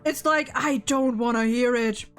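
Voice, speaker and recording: A woman's voice: fairly high pitch, a little slow, soft background music. A woman in a casual discussion of a video game, talking emphatically and swearing freely. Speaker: host.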